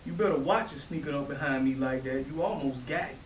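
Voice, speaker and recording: A man's voice: medium pitch, brisk, close to an everyday voice. A man acting a brash, protective, street-tough young brother in a staged play reading, speaking in slangy Southern vernacular. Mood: cocky boasting. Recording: distant roomy stage recording with hiss.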